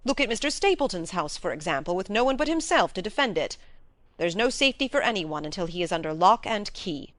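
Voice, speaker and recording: A woman's voice: mid-range voice, very animated, fast, fairly bright tone, no echo. A firm, fair-minded baronet as a woman acts him out questions directly and with emphasis. The delivery is formal, suited to a classic mystery story, with a very dry sound. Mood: indignant, firm. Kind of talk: dramatised reading of a classic novel.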